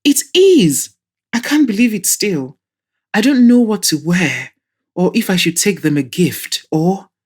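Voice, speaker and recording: A woman's voice: mid-range voice, very animated, unhurried, darkish tone, breathy edge, pitched above the performer's own voice. A woman reading the part of an anxious, hopeful, chatty young woman in an audio drama. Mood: nervous.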